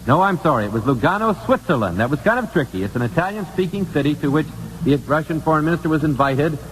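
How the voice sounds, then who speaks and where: 1950s radio quiz host in a reverberant hall. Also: male voice, a little high, lively intonation, quick pace, a bit bright. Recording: old radio broadcast, long reverb, faint noise.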